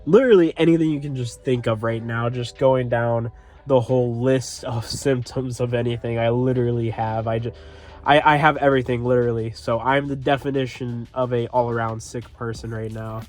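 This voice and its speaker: A man's voice: medium pitch, steady tone, moderate pace, a little mellow. Congested young man chatting about sports. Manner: rambling, many fillers and restarts. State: congested, sniffly. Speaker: sports commentary channel host. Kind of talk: casual solo commentary.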